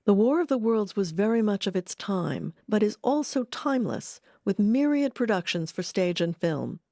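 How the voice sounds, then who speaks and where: A woman narrating a radio-history documentary in a measured, expressive scripted read. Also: medium pitch, no room sound. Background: music.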